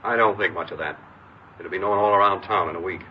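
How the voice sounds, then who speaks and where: A man's voice: low-pitched, fast, natural voice. An actor playing a stern, dismissive middle-aged father, brusque and impatient, in an old radio crime drama. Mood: irritated, dismissive. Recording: muffled, noisy old radio recording.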